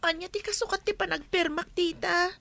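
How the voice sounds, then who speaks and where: A woman performs a young niece held against her will. Her voice is extremely high and youthful, with a plaintive, earnest edge. She is stubborn and firm in a melodramatic audio drama style. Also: fairly even intonation, a little fast, gravelly, pushed and bright, exaggerated voice. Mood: wary.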